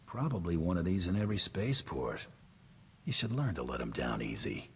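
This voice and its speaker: A man's voice: low-pitched, sing-song, a little fast. A man voicing a tough, laconic bounty hunter in a video game, terse and dryly menacing. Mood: businesslike. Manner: terse, dry, threatening. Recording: long hall reverb, background noise.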